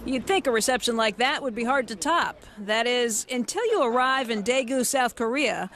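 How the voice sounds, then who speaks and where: A woman's voice: a little high, normal speed, fairly bright tone. A woman reporter reading scripted news documentary narration in a polished, upbeat voice with a light wry edge. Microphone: studio voice-over. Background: music underneath.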